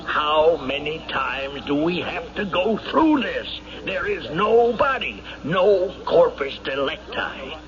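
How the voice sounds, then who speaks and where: An actor playing a middle-aged, argumentative prosecuting lawyer in an old-time radio drama, emphatic and exasperated. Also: male voice, on the high side, very animated, slow, few pauses. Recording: muffled vintage radio, crowd murmur behind.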